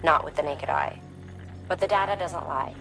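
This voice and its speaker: Low, serene, cryptic scientist woman. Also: normal speed, vocal fry, fairly bright tone, faint music behind. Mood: calm, cryptic. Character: eerily serene scientist woman speaking as merged twin sisters. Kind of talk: video game cinematic acting.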